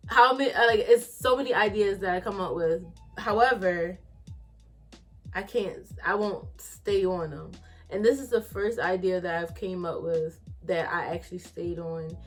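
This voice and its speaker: A woman in her late twenties from Philadelphia with a Philly accent, hosting a casual chat in a blunt, profane, animated way and laughing often. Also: on the low side, normal speed, soft background music. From Philadelphia, USA. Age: 20s. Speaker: podcast host.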